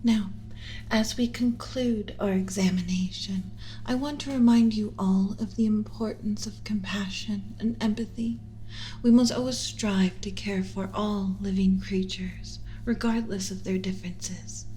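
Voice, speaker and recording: A woman voicing a doting alien scientist mother in a soft, breathy ASMR whisper, speaking with slow, soothing, formal tenderness. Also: medium pitch, fairly even intonation, slight background noise, close to an everyday voice, low-quality recording. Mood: reassuring, then earnest, compassionate. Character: doting female alien scientist mother, gently possessive of human pet.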